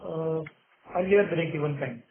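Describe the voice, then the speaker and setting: A man with an Indian accent answering analysts as a company executive, in a halting, filler-heavy way. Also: fairly high pitch. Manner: halting, hesitant delivery with many fillers. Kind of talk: earnings call answers. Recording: narrow tinny phone conference line.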